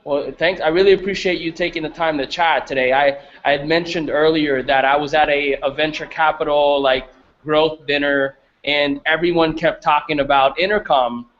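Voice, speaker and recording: A man hosting a casual interview, speaking in halting phrases with repeated words. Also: a little high, a bit bright, highs cut off. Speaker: interviewer, host.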